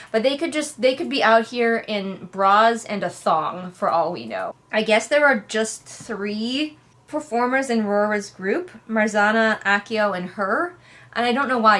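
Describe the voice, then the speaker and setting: A woman's voice: medium pitch, a bit bright. Wry woman giving casual book commentary. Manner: wry, exasperated, conversational. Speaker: host of a book readalong commentary.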